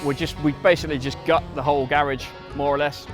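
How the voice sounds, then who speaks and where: A man on a team crew calmly describing his work in a matter-of-fact way. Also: fairly high pitch, fast, music in the background. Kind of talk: interview answers.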